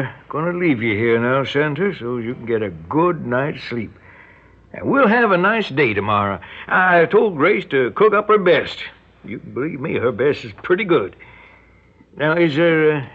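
A man's voice: on the high side, big pitch swings, normal speed. An actor playing a menacing, folksy rural American father turned kidnapper in a radio drama, drawling with mock friendliness. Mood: mock-friendly. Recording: dull lo-fi radio recording.

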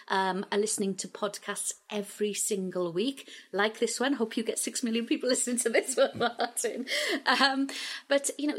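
A woman, a radio station editor, speaking as an interview guest in an upbeat, energetic way with frequent fillers. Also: medium pitch. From Wales.

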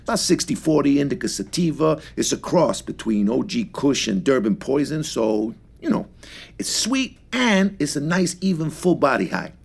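An actor playing an exuberant middle-aged New shop owner and stoner in an audio drama, with a husky, gravelly voice and effusive, slangy patter. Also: male voice, mid-range voice, very animated, normal speed, full character voice. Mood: excited, salesman-like. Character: exuberant, friendly neighborhood empanada-shop owner and stoner man. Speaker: actor in scripted fiction podcast.

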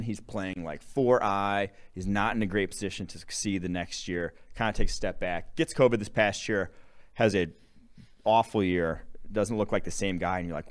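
A young man with a very low voice, co-hosting a casual football podcast. He rattles off long lists of prospects and rankings. He is from Wisconsin. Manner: animated, rattles off player lists. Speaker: podcast co-host, draft analyst. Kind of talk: casual sports analysis chat.

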